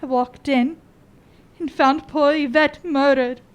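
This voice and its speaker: Radio drama part: woman as lovelorn gentleman suitor, hesitant, unhurried, high register. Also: fairly even intonation. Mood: halting, sorrowful. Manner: halting, earnest. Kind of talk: student radio drama acting.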